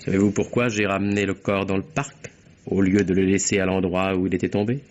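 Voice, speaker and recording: An actor playing an articulate young secretary in a vintage French radio mystery, telling his account with theatrical, deliberate precision. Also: low voice, flat delivery, a little mellow, a little hiss or hum, close to an everyday voice. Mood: confiding, assured. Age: young adult. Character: composed, articulate young secretary explaining himself under suspicion. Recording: dull, muffled old radio recording.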